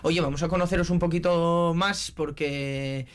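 A male sports interviewer with a Spanish accent from Spain. His voice sits fairly high and moves very little in pitch. He puts questions in a conversational way. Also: fairly even intonation, measured pace.

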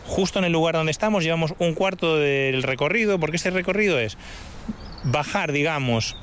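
A man's voice: on the high side, moderate pace, fairly bright tone, a little hiss or hum. A man from Galicia, a tour guide, explaining a walking route with enthusiasm on an outdoor trail. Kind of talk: casual outdoor conversation.

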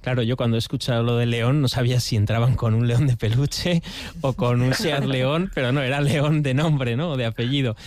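A man's voice: medium pitch, fairly even intonation, brisk, darkish tone. A man with a Spanish accent from Spain, hosting a casual radio interview.

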